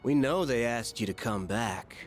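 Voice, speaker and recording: A man's voice: medium pitch, a little slow, a little hiss or hum, close to an everyday voice. A man playing a hot-headed, confrontational young man, blunt and challenging, in an anime game. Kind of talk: anime game dub acting.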